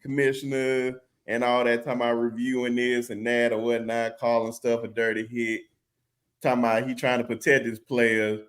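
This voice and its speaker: A man hosting a casual sports podcast, talking with excited, rising bursts. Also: medium pitch, moderate pace. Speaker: podcast host.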